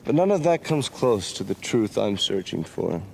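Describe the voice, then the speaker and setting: A gravelly, wistful young adventurer. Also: male voice, lowish voice, big pitch swings, moderate pace, slight background noise. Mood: yearning. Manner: reflective, wistful storytelling. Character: restless romantic young male adventurer. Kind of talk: 1990s video game voice acting.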